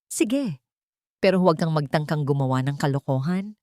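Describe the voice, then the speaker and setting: A woman's voice: sits low, lively intonation, moderate pace, darkish tone, no echo, clean studio sound. A woman voicing an angry male villain and captor who barks threatening demands in an audiobook drama. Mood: suspicious, threatening.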